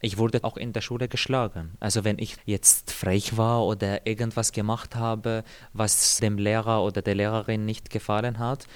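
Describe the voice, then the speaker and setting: A young man from Syria whose first language is Arabic, calmly telling his own story in an interview, thoughtful and measured with small pauses. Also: fairly low pitch, smooth. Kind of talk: calm personal account.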